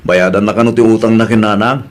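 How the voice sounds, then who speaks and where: A man's voice: fairly low pitch, steady tone, fast, close to an everyday voice. A man playing a preachy, peacemaking husband and son in an Ilocano family comedy drama. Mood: calm, concerned.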